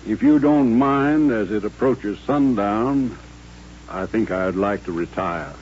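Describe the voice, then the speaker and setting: Courtly gentleman on dull radio recording. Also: lowish voice, a little hiss or hum. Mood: solemn, gracious. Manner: formal, measured, polite. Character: courtly, composed Southern gentleman duelist, a former colonel. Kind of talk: old-time radio western acting.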